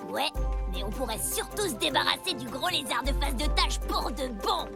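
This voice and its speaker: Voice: high voice, big pitch swings, moderate pace, vocal fry, heavily acted. A performer voicing a bratty, scornful prehistoric child bully in a French cartoon, with a sneering, mocking delivery. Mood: scheming. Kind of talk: French animated cartoon dubbing. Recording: music bed under the voice.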